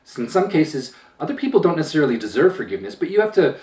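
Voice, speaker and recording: A man giving an emphatic opinion monologue as a channel host, with a powerful voice that swells louder and slow, deliberate phrasing with pauses. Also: a little high, sing-song. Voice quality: powerful voice that gets loud when upset. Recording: roomy echo, distant from mic.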